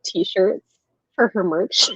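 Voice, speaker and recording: A woman's voice: medium pitch, slow pace, a bit bright, breathy edge, dry recording, low-quality recording. A woman hosting a casual book chat, effusive as she reacts to comments on a livestream. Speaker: book discussion livestream host.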